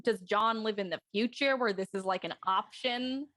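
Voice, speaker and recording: A woman's voice: medium pitch, moderate pace, fairly bright tone, no echo. A woman voice actor talking casually in a group discussion with fellow cast members. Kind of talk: casual chat.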